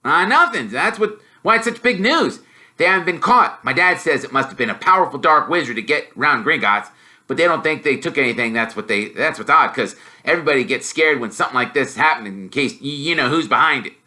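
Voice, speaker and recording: A man voicing an excitable, blunt young wizard boy who blurts loudly and is easily made gloomy. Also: a little high, brisk, pitched above the performer's own voice. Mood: excited. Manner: loud, blurting, animated.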